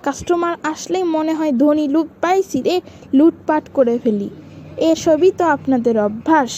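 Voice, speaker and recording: High-pitched scolding cartoon mother, played by a woman. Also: normal speed, mellow, slight background noise, close to an everyday voice. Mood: indignant haggling. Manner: scolding, nagging, argumentative. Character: stingy, short-tempered, scolding cartoon mother haggling over prices. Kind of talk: cartoon skit dialogue.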